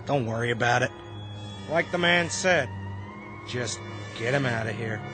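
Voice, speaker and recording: An actor playing a blunt, unfazed sheriff in a radio drama, terse and matter-of-fact. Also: male voice, mid-range voice, a little slow, loud music behind the voice, lightly acted. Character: blunt, unfazed male sheriff at a death scene.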